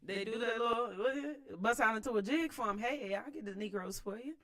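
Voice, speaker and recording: An American woman reading a book aloud and adding informal, slangy commentary in an expressive manner. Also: a little high, a little fast, dry recording, clean studio sound. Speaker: host of a read-aloud series. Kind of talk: book read-aloud with casual running commentary.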